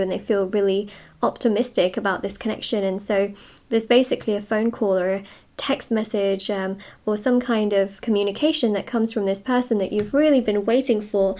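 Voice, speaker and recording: A woman's voice: a little high, mellow. A woman giving a casual, unscripted tarot reading, musing aloud and rephrasing herself as she goes. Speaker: tarot reader and host. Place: indoors at a wooden table.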